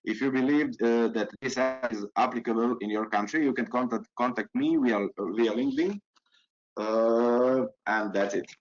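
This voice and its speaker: Halting man on a muffled call. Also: mid-range voice. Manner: halting, many restarts. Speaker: webinar presenter. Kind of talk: webinar presentation. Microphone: video call. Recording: dull lo-fi video call audio.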